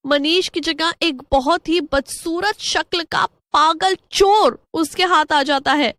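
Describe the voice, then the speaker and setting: A woman's voice: very high voice, takes it slowly, fairly bright tone, dry recording. A woman narrating a scripted horror story as a storyteller.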